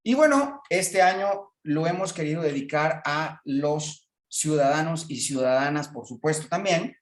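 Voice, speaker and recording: A man's voice: fairly high pitch, lively intonation, unhurried, echoey room. A man hosting a radio interview, talking in a rambling way with many fillers. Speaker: radio host.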